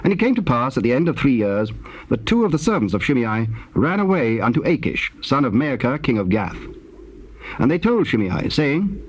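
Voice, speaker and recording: Casting: male narrator for solemn scripture, mid-pitched and husky, with lively intonation. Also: normal speed, rough recording. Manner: formal storytelling.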